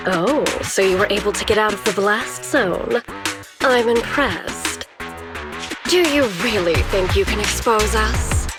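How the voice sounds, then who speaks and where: A woman playing a cold, composed corporate director and game villain, speaking in a smug, formal, unhurried manner. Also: mid-range voice, close to an everyday voice. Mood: cold threat. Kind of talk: video game cutscene acting. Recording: music under the voice.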